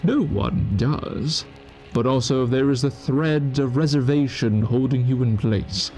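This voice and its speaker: A man giving scripted, brooding radio-play narration with measured, dramatic pauses. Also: mid-range voice, mellow, faint music behind.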